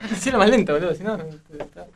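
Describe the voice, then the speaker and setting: High voiced argentine guy, super quick slangy chatter at a rehearsal. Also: expressive. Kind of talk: casual rehearsal banter.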